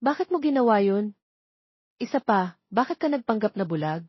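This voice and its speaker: A woman's voice: mid-range voice, very animated, moderate pace, slightly rough, no echo, muffled recording. A woman voicing a feisty, sassy young heroine of a romance story, indignant and questioning, with a Filipino accent. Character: feisty, easily smitten young woman playing hard to get.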